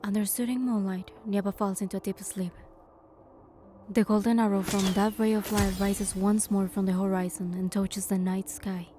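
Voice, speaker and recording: A woman's voice: mid-range voice, little pitch movement, normal speed, dark tone, a little hiss or hum, lightly acted. A woman voicing a proud goddess in a game, delivering dramatic, solemn scripted narration. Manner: solemn, storytelling. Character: wounded goddess narrating her suffering with pride.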